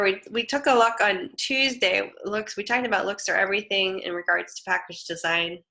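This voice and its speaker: A woman's voice: mid-range voice, pushed and bright. A woman teaching an online design lecture while sick with a head cold, sounding nasal and sniffly, chatting casually with fillers and restarts. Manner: casual, chatty, with frequent fillers and self-corrections. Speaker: design instructor. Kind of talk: live online lecture with screen demo.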